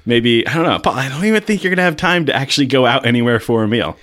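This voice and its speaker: Man, medium pitch, podcast co-host, informal chat, wry banter. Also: expressive, fast talker, a bit bright. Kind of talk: casual co-hosted podcast chat.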